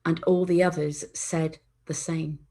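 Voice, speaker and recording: A woman reading a Bible passage in a worship service in a measured, solemn way. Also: low-pitched, little pitch movement, dark tone. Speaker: scripture reader.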